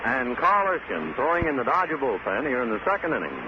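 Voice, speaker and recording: A man's voice: medium pitch, big pitch swings, fast talker. A man calling baseball play-by-play in 1950s radio style, with clipped descriptive phrases and conversational asides. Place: outdoor ballpark broadcast position. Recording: dull, very noisy old radio broadcast.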